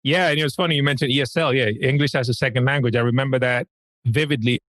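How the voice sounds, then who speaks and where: A man's voice: mid-range voice, fairly even intonation, fast, no room sound. Easygoing male podcast guest from the Dominican Republic. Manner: warm storytelling, easygoing with laughs. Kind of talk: casual interview chat.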